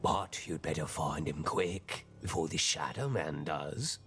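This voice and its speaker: A man voicing a sly, ominous townsperson in a video game, theatrical and drawn-out. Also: extremely low pitch, a bit bright, faint music behind. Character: ominous, sly male townsperson warning a child. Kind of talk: video game character acting.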